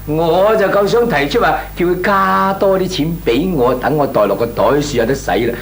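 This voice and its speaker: A man's voice: a little high, expressive, moderate pace. An actor playing a talkative, cautious small businessman in a Cantonese comic radio drama, hemming and chuckling as he talks. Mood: protesting. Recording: clearly noisy, humming radio recording.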